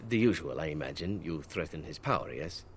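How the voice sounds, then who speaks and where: An actor voicing a charming, glib male elf assassin in a video game, smooth and playfully teasing. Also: extremely low pitch, expressive, fairly quick, a bit bright, a little hiss or hum, heavily acted. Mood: sly. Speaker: game character.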